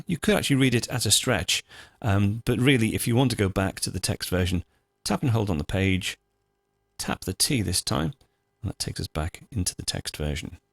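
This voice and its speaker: A man hosting a software tutorial, talking through each step in an informal, unscripted, explanatory way. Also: lowish voice, gravelly, no echo, studio quality. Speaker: host of a software tutorial show. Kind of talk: screencast tutorial, unscripted walkthrough.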